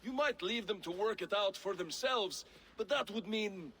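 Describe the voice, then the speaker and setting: A man's voice: high-pitched, moderate pace, pushed and bright. An actor playing a resentful male Viking warrior in a video game, with a sarcastic, needling delivery. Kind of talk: dramatic video game acting. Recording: reverberant hall, faint ambient noise.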